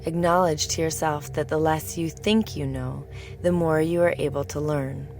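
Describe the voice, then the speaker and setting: A woman teaching yoga nidra, narrating a guided meditation in a soothing, deliberate, measured way. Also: low-pitched, fairly even intonation, vocal fry, a little mellow, faint music behind.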